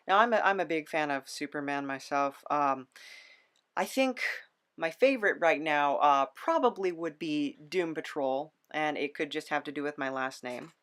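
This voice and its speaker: Halting, musing podcast host. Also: medium pitch, fairly bright tone. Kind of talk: casual interview chat.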